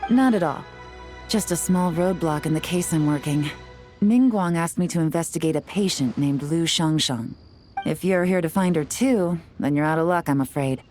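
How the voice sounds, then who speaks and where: Casting: actress for composed female investigator in a game, lower register, measured, probing, self-assured. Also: a little mellow, faint music behind, natural voice. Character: cool, composed, shrewd adult female investigator. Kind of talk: video game voice acting.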